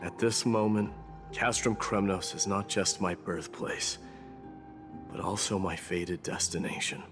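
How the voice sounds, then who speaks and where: A man playing a proud, stoic warrior prince in a fantasy game, speaking gravely and formally. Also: deep voice, steady tone, measured pace. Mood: reflective, resigned. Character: proud, stoic warrior prince, demigod, resigned to his fate. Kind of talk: scripted fantasy game voice acting. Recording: music behind the voice.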